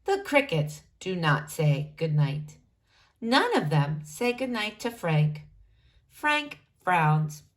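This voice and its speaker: A woman reading a children's storybook aloud, narrating deliberately and expressively for young listeners. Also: lowish voice, very animated.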